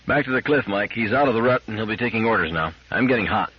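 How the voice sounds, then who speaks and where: Man playing urgent male engineer, sci-fi audiobook, husky, pressing delivery, slightly muffled. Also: on the low side, lively intonation, fast, no echo. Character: Powell, urgent exasperated male space engineer. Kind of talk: audiobook character dialogue.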